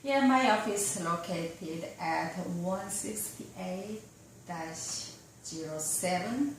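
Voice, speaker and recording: Woman attorney, Korean native speaker, lowish voice, measured formal delivery, roomy distant recording. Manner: slow, careful, somewhat formal answers. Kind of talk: answering interview questions. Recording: roomy, distant mic with faint noise.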